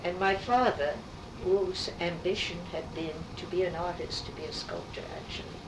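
A woman in her eighties, raised speaking French, telling her life story in an unhurried, reminiscing way for an oral history interview. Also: fairly low pitch. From New York City. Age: 80s. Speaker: artist interviewee. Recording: roomy, distant, noisy tape.

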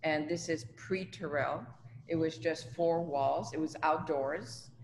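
A woman's voice: low-pitched, slow. Older woman in a reverberant room, reminiscing. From Tunisia. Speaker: artist being interviewed. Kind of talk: conversational personal recollection. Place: indoors in an art studio. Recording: reverberant room, faint background noise.

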